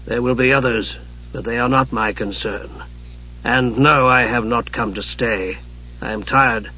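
A man voicing a tired, dry-humoured archmage uncle, speaking softly and unhurriedly in a fantasy audiobook. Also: mid-range voice, fairly even intonation, normal speed, slightly rough, lo-fi sound. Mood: weary, dry. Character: weary, dry, soft-spoken male archmage uncle.

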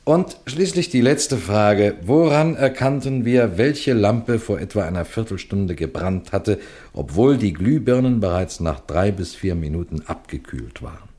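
An actor playing a shrewd, composed senior police detective in a radio crime play, speaking in a deliberate, courteous, instructive way. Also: male voice, on the low side, animated, warm tone. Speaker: detective and narrator addressing listeners. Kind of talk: scripted German radio crime drama.

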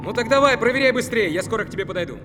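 A man's voice: very high voice, very fast. An actor playing an irritable, curt spaceship crewman in an audio drama, grumbling at his colleague. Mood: alarmed, impatient. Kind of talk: Russian audio drama acting. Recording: clear noise, vehicle-like ambience.

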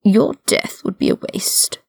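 A woman voicing an older aristocratic male wizard prisoner, haughty and mocking, with measured, condescending, formal speech in an audiobook-style story reading. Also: darkish tone, dry recording, clean studio sound, lightly acted. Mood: intense, persuasive.

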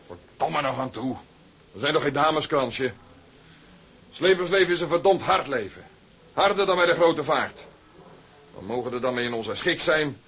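An actor playing a proud young sailor in a radio drama, earnest and indignant, given to swearing. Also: male voice, on the high side, animated, normal speed, pauses often, a bit bright, husky.

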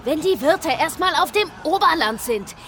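A woman's voice: high-pitched, expressive, moderate pace, heavy background noise. An actress playing a tough, sarcastic woman fighter with a biting manner in a German radio horror drama. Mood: biting, tense. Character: tough, sarcastic armed woman, defiant under siege.

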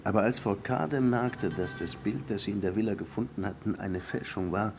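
An actor playing a blunt, sardonic police lieutenant in a radio drama, talking in a wry, deliberate way. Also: male voice, on the low side, creaky, a little hiss or hum. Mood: wry, musing.

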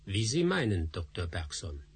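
An actor playing a measured, technical male scientist who turns gentle and tender with his family in a radio play. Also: low voice, very animated, husky, heavily acted. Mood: determined. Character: male scientist Doktor Spassky, calm and determined.

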